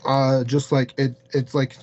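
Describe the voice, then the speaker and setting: A man telling a story casually as an interview guest, halting and peppering his sentences with "like". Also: medium pitch, level tone, a little mellow, muffled recording. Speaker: podcast guest, paranormal investigator.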